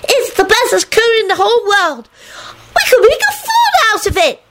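A gleeful little girl, very high-pitched. She is childish and bursting with excitement, a small character in an audiobook. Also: moderate pace, a little hiss or hum, exaggerated voice. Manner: childish, screaming happily.

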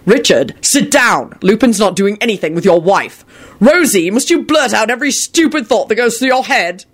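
This is a woman acting a brisk, impatient male friend in an audiobook. He is dry and blunt, with a clipped, firm delivery. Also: low-pitched, fairly even intonation, a little mellow. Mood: exasperated. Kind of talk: audiobook character voice.

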